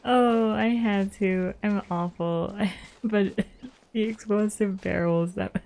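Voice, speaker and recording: A woman's voice: medium pitch, fairly even intonation, takes it slowly, vocal fry, darkish tone. A woman casually commenting as she live-streams a video game. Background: faint game music.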